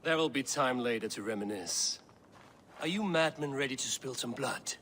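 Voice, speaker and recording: A man playing a proud, fierce Viking warrior leader in a video game cutscene, speaking with grave, deliberate delivery. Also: mid-range voice, fairly bright tone, a little hiss or hum, natural voice. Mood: wry, warm. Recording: music behind the voice.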